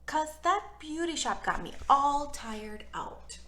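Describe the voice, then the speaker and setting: A woman voicing a cheeky, excitable, stubborn little girl from a children's storybook, with emphatic childish phrasing. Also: high-pitched, takes it slowly, voice pushed up, heavily acted. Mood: feigning innocence. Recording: roomy, echoey room sound.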